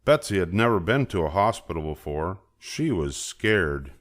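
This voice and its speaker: Older male storybook narrator, very low voice, expressive read-aloud for young children. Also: normal speed. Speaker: storybook reader.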